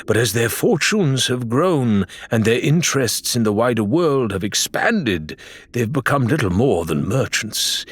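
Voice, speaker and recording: A man's voice: mid-range voice, no echo, pitched above the performer's own voice. A learned male pirate, played by an audiobook reader, speaks formally and with care. The voice sits raised and moves widely, grave and explanatory in the manner of an adventure tale.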